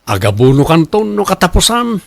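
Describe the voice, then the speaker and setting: High-voiced, pleading Ilocano husband acted by a man. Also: very animated, a little fast, gravelly, dry recording, heavily acted. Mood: defensive. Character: cash-strapped Ilocano husband, pleading borrower, defensive. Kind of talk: Filipino radio drama acting.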